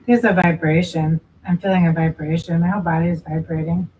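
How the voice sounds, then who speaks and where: A woman on a ghost hunt in an empty theatre is losing her voice, and she sounds rough and thin. Her tone sits low and a faint hiss runs behind her. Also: fairly even intonation, brisk, far from the mic, narrow, tinny audio. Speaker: paranormal investigator. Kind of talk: live investigation chatter.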